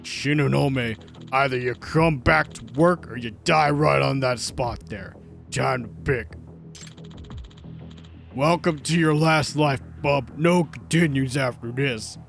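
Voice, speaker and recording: A man's voice: mid-range voice, slow pace, creaky, fairly bright tone, soft background music, voice pushed down. A man voicing a menacing, foul-mouthed male thug producer in a game dub, snarling threats.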